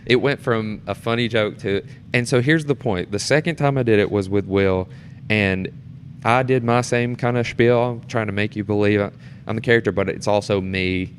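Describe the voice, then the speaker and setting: A man chatting casually and telling stories in an animated way, acting out how people react. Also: fairly low pitch, normal speed. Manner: animated storyteller, acts out reactions. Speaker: guest, amateur stage performer. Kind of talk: casual storytelling chat. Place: temporary studio in a theatre. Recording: clear background noise and room ambience.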